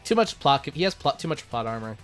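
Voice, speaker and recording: A man speaks in a casual, opinionated co-host style, with pitch that moves around a lot. There is faint music underneath his voice. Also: very animated, fairly quick, a bit bright. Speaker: co-host of an anime character ranking.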